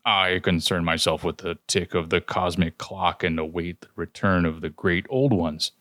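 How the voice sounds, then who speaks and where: A man voicing a huge ancient male tentacled god who speaks slowly and grandly. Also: sits low, fairly bright tone, no echo, studio quality. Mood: ponderous. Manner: slow, ponderous. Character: vast ancient male tentacled god, booming yet sheepish.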